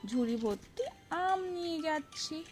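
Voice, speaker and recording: A woman's voice: high voice, lively intonation, unhurried, a little mellow, soft background music. A woman presenting and reciting nursery rhymes in a sing-song way, speaking directly to small children. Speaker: host of a children's rhyme channel.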